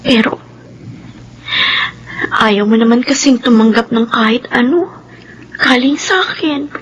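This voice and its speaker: High-voiced, timid Filipino young mother. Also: a little slow, a bit bright. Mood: subdued, tender. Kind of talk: Filipino radio drama acting. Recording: noisy ambience behind the voice.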